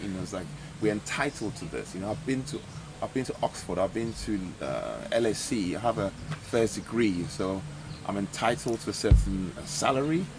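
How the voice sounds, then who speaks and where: A man's voice: on the low side, expressive, moderate pace, few pauses, over music, poor audio. A man hosting a podcast interview, asking questions and affirming often. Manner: frequent backchannel affirmations. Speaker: podcast host. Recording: audible background noise.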